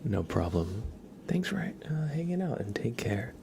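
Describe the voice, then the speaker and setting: A man hosting an ASMR livestream, chatting casually in a soft, breathy, often whispered voice with slow, halting, rambling delivery. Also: mid-range voice, sing-song. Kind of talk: ASMR, casual chat with viewers. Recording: clear background noise.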